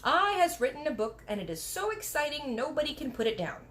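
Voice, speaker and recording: An excitable little boy from a storybook, acted by a woman. He speaks in childlike broken grammar, exclaiming in a high voice that swings widely. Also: a little fast, slight background noise. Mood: excited. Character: excitable little boy telling fanciful dreams. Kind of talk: storybook read-aloud character voice.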